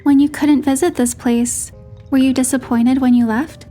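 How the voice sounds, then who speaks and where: A young heroine narrating a romance game story, voiced by a woman. Her voice is fairly high, reading scripted first-person narration over soft music. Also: fairly even intonation, moderate pace, close to an everyday voice. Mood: gentle storytelling.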